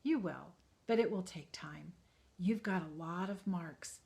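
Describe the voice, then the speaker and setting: Woman voicing a kind old bearded woodcarver, slow, hushed, tender, storybook. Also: lowish voice, gravelly, slight background noise.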